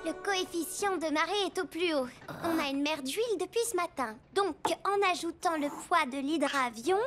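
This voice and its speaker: A woman voicing a bold, confident young girl pirate captain in an animated cartoon. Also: very high voice, moderate pace. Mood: reassuring, resolute. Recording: music behind the voice.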